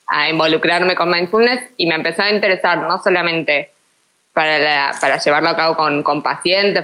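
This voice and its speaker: A woman from Argentina with an Argentine accent, a psychologist guest explaining her work in a relaxed, thoughtful way with frequent restarts. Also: sits low, low-quality recording. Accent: Argentine Spanish. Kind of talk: casual interview chat.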